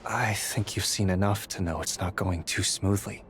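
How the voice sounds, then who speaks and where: A man's voice: low voice, steady tone, normal speed, slight background noise, lightly acted. A man voicing an earnest, dutiful young squad leader in a video game, explaining things in a friendly way. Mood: determined. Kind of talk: English video game character acting. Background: background music.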